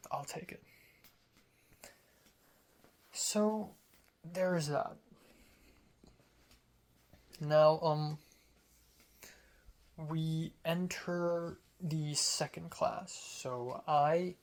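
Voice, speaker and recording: A man's voice: fairly high pitch, creaky. A young man, a college student, telling a rambling, self-amused story in a casual vlog. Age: young adult.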